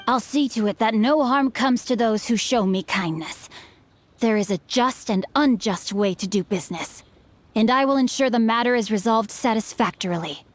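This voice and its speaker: A man voicing a young male swordsman who speaks in a formal, measured, earnest way. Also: high voice, natural voice. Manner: formal, stiff, measured speech.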